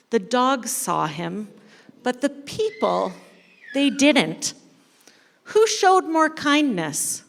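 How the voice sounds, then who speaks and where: High-voiced woman storyteller in a reverberant hall. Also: fairly bright tone. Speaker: church storyteller leading a live dramatic reading. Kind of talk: animated storytelling to a live audience, with asides to participants. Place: large indoor church room. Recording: reverberant hall, live event sound.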